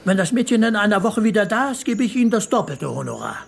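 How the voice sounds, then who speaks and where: A man's voice: high-pitched, sing-song, fast talker, vocal fry, a little hiss or hum, exaggerated voice. An actor playing a middle-aged, well-off, controlling father in a radio crime drama, formal and disapproving in manner. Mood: formal, insistent. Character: well-off, controlling bourgeois father hiring a detective.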